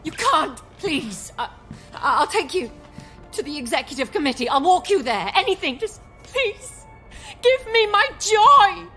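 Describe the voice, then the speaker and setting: A genteel, imperious older woman for a video game, desperate and unstable. Her high voice swoops widely and takes its time, with music playing behind it. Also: very animated, measured pace, bright, forceful tone, full character voice. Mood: desperate pleading. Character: desperate, imperious older woman hooked on drugs, turning unhinged.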